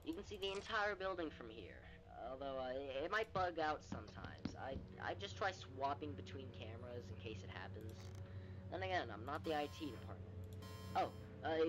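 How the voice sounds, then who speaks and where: A man's voice: a little high, very animated, moderate pace, background noise. An actor playing a hesitant, rambling, casual man who briefs a new night guard in a horror game. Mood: awkward, friendly. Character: awkward, chatty male phone guy briefing a night guard. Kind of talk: scripted horror game voice acting. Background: music.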